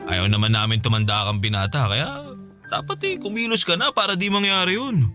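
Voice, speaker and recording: A man's voice: fairly high pitch, brisk, music in the background, lightly acted. An actor with a Filipino accent playing a teasing, sociable friend, a small business owner and single father, in casual radio drama banter. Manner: chatty, teasing, chuckling buddy talk.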